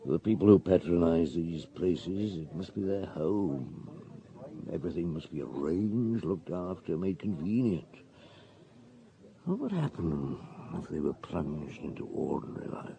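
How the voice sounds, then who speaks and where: A man acting a middle-aged, thoughtful French police superintendent in a radio drama, terse and deliberate, with musing hums. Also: deep voice, creaky, warm tone, full character voice.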